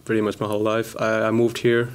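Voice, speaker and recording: A twenty-eight-year-old man from eastern Finland, a student, introducing himself in a halting way. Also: lowish voice, clear, resonant tone. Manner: flat, halting, with fillers.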